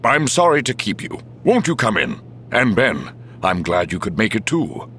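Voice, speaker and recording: A man acts out an older military chief in an audiobook. He is wary and formal, measured yet emphatic, his pitch raised well above a narrator's and swinging widely. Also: sing-song, fairly bright tone, a little hiss or hum. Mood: forced cordiality.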